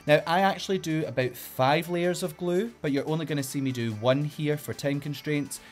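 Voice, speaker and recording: Man, Glaswegian accent, a little higher pitched than usual, drag queen tutorial host, playful and upbeat, faint music underneath. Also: normal speed. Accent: Glaswegian Scottish. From Glasgow, Scotland. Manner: upbeat, playful, giving step-by-step instructions. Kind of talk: makeup tutorial.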